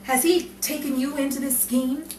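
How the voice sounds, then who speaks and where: A woman's voice: high voice, steady tone, normal speed, lightly acted. A woman playing a sensible, stern elder sister who works as a bank clerk in a 1950s crime melodrama, with formal, indignant phrasing. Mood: scolding, exasperated. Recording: echoey, distant, noisy room recording.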